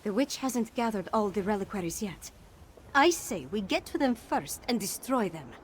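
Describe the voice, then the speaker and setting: A woman's voice: medium pitch, sing-song, normal speed, slight background noise, lightly acted. An actress playing a determined young warrior woman in a video game, speaking in an earnest, resolute manner. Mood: grim resolve. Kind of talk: scripted video game dialogue.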